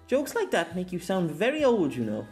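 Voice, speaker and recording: Man putting on an eager, higher-pitched young woman. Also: huge pitch swings, moderate pace, a little mellow, faint music behind, heavily acted. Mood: teasing. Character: cheerful, teasing, awestruck young woman from a medieval world.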